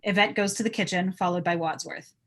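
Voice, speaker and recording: A woman's voice: lowish voice, a little fast, fairly bright tone. A woman reading stage directions as narrator in a casual live table read.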